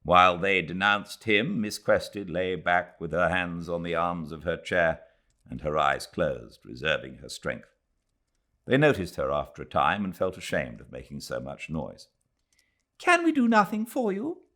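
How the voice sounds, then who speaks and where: A British man narrating a classic novel in a measured, expressive reading voice. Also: deep voice. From Britain. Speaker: audiobook narrator. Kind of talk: scripted literary narration. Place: home, beside a fireplace.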